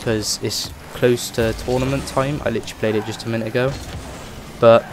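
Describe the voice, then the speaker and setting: Man with a cold, flat voice. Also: medium pitch, a little fast, background noise. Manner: rambling, chatty, many "like" fillers. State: voice rough from a cold. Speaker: gaming channel host. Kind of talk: casual gameplay commentary.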